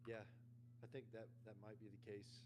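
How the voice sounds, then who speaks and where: A man's voice: on the low side, level tone, moderate pace. A man co-hosting a fairy tale reading, chatting casually with informal, joking commentary. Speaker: co-host reading fairy tales. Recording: noticeable background noise.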